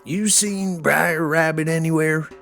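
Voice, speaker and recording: A man voicing a big, gullible, rumbling bear in a folksy storybook tale. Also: steady tone, a little slow, vocal fry, fairly bright tone, faint music behind. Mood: grumpy.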